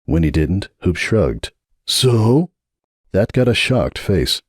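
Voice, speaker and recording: Expressive male audiobook narrator. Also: sits low, measured pace, no room sound, studio quality. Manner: expressive storytelling.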